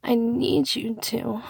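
A woman delivers an intimate, seductive erotic monologue close to the microphone, breathy and husky, moving between soft murmurs and whispers. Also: mid-range voice, dry recording. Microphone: close microphone, dry.

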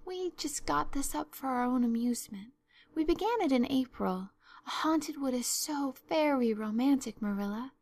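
Woman acting young girl, high voice, effusive, theatrical, pleading, earnest storybook chatterbox. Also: normal speed, a little mellow. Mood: fearful, dramatic. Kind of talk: storybook narration.

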